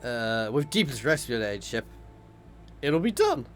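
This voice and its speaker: A man's voice: mid-range voice, sing-song, moderate pace, slight background noise, natural voice. A man reading as an eager loyal soldier. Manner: eager and deferential. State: slightly hoarse voice.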